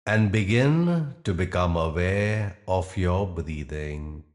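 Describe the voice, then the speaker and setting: Male meditation guide: deep register, unhurried delivery, soothing and deliberate, with long pauses between phrases. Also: animated.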